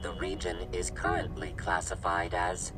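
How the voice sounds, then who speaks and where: An actor voicing an emotionless robot analyst in a video game, reciting data in a clinical, formal way. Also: male voice, deep voice, monotone, normal speed, recorded from a distance, music in the background, lightly acted, low-quality recording. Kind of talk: video game dialogue acting.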